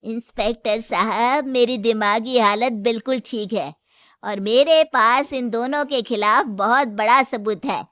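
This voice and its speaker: A woman acts out an older mother-in-law and shopkeeper in a moral story, shrewd and firm. Her voice is high and her delivery slow and deliberate, with a dry studio sound. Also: a bit bright, no echo. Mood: confident. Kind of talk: Hindi moral story character acting.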